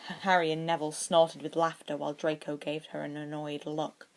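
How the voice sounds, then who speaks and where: A woman's voice: sits low, little pitch movement, moderate pace, a little mellow. A woman reading scripted fiction aloud as an audiobook-style narrator.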